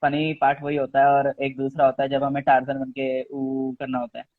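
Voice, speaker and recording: A young man as an interview guest, telling stories in a relaxed, casual way. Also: medium pitch, moderate pace, no echo. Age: young adult. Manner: relaxed, storytelling, mixes in English phrases. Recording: dry phone-like audio.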